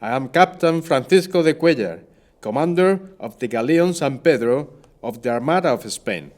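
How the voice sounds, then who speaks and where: A shipwrecked Spanish naval captain acted by a man in an audio drama. His medium-pitched voice is earnest, proud and courtly, with formal, declamatory delivery. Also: lively intonation, moderate pace, a little mellow, close to an everyday voice. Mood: proud, insistent. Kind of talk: audio play monologue.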